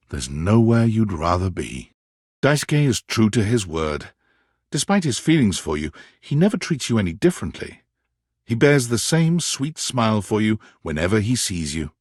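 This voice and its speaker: An actor playing a wry, dramatic male storyteller, narrating a romance game in a theatrical, expressive way. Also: lowish voice, moderate pace, dry recording, studio quality. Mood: tender. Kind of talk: scripted second-person narration for a dating game.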